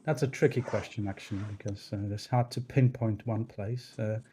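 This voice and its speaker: Male interview guest, 30s, native Polish speaker. Warm, relaxed timbre, thoughtful and self-effacing, feels his way through answers. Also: fairly low pitch, moderate pace, slight background noise. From Poland. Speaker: podcast guest, snooker referee.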